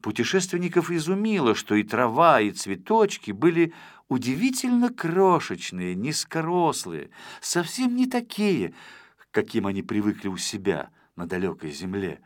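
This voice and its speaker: A man narrating a children's story from a script in an expressive style. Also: medium pitch, normal speed. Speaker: audiobook narrator.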